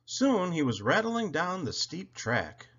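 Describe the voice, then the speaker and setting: A man reading a children's story aloud with expressive, animated storytelling. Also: fairly high pitch, slow pace, slightly rough, lo-fi sound. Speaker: storybook reader.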